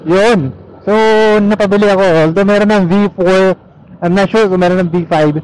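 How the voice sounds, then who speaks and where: A man's voice: high voice, fairly even intonation, moderate pace, darkish tone, low-quality recording. A man with a Filipino accent chatting casually and easygoing in Taglish as he vlogs from inside a motorcycle helmet. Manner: easygoing Taglish code-switching. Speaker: motorcycle vlogger. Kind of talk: casual rambling vlog chat while riding. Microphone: helmet intercom or action camera mic. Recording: helmet mic with engine and road noise.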